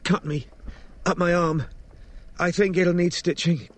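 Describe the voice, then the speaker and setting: A man's voice: high-pitched, fairly even intonation, unhurried, a bit bright, pitched above the performer's own voice. A man voicing a shaken, injured young woman in an audiobook, pained and gasping yet exasperated and feisty. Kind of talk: audiobook character acting.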